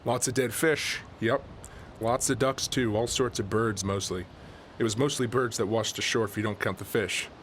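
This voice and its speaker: An actor playing a folksy small-town fisherman who rambles and corrects himself in a deadpan way. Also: lowish voice, moderate pace, a little hiss or hum, natural voice. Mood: rambling. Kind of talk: radio drama interview.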